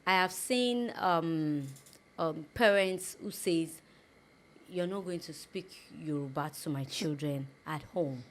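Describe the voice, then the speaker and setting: A woman hosting a talk show, asking slow questions and murmuring frequent agreement. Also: deep voice. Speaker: talk show host, interviewer. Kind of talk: casual interview chat.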